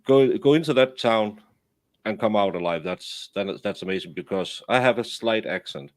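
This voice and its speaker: A man spins loose, rambling anecdotes in a relaxed online chat. His voice sits a little low, and the close sound is dry and free of room echo. He trips over his words and circles back often. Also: normal speed. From Denmark. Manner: rambling storyteller with many restarts. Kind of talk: casual chat on a stream.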